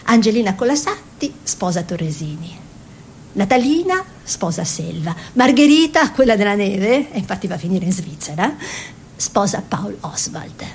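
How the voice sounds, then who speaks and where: An actress playing a young peasant woman painter, dreamy and stubborn, in an expressive theatrical delivery. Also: mid-range voice, normal speed, slight background noise. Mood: animated. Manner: expressive, musing, emphatic repetitions. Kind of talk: theatrical monologue and dialogue.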